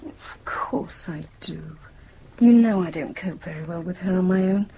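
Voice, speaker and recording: An actress playing a troubled, weary but determined British wife in a radio drama, in a subdued manner. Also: female voice, lowish voice, measured pace, mellow, poor audio. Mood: upset, subdued. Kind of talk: naturalistic radio drama acting. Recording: clear background ambience noise.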